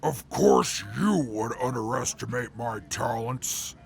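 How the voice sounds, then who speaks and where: Man acting a haughty, heavily gravelly intellectual. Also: medium pitch. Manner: pompous, formal, measured. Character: haughty, proud, bookish male radio interviewer with a temper. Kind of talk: audio drama acting.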